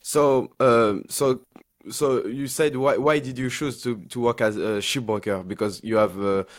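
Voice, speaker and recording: A man's voice: mid-range voice, steady tone, unhurried. A man, hosting and interviewing a guest on a podcast. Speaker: podcast host.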